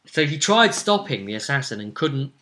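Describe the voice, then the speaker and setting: A man's voice: mid-range voice, big pitch swings, moderate pace, bright, forceful tone. A man acting as game master, casually recapping the story of a tabletop role-playing game.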